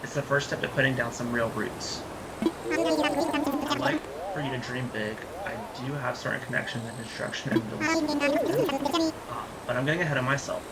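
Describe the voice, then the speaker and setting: A streamer with a raspy voice voicing a male raccoon businessman in a game, speaking formally and slowly. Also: mid-range voice, huge pitch swings, heavy background noise. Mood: pompous welcoming. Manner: formal, grandly welcoming speech, drawn-out pacing. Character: fussy cheerful raccoon businessman, male, middle-aged. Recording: loud game music behind.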